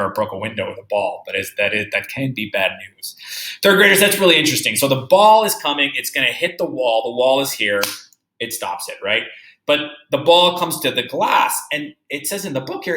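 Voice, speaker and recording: A man teaching young children through a read-aloud, reading deliberately and musing aloud with questions for his pupils. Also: medium pitch, fairly bright tone. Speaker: third-grade teacher. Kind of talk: read-aloud lesson with think-aloud commentary. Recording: roomy, echoey indoor sound.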